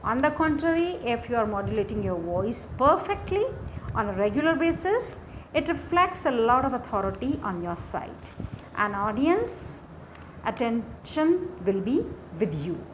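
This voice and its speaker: Female lecturer, Indian accent, fairly high voice, very unhurried lesson narration in short phrases. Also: big pitch swings, poor audio. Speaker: English lecturer. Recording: faint background noise.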